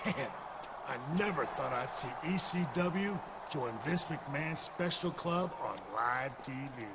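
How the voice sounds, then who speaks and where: A man's voice: a little high, lively intonation, a little slow. A man playing a cocky, defiant wrestler in a game, taunting as he trash-talks. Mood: cocky, mocking. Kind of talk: trash-talking promo. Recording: very noisy game arena audio.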